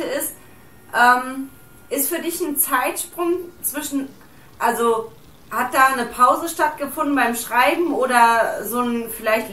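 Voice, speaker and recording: A woman's voice: high voice, sharp tone, slight background noise. A woman hosting an interview, asking friendly, casual questions. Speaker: interviewer, podcast host. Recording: roomy sound, far from the mic.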